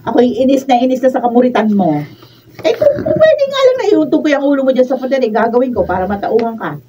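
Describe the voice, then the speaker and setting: A high-voiced, exasperated Filipino woman acted by a woman with very animated, scolding delivery in a radio drama. She sounds a little far from the mic, with faint noise. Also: fast talker. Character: exasperated, scolding woman friend, bluntly practical.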